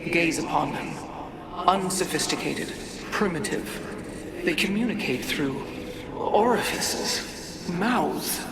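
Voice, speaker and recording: A haughty alien elder speaks slowly and formally. She sounds condescending and stern, like a keeper of ancient law in a game cinematic. Loud ambience sits behind her voice. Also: fairly low pitch, expressive, very noisy. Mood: disdainful. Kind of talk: dramatic game cinematic acting.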